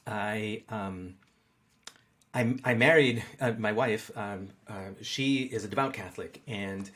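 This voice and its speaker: A man's voice: on the low side, slow, a bit bright. A middle-aged man talking casually as an interview guest, often repeating words as he searches for phrasing. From born in Peru, raised in the United States. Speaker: interview guest, market researcher.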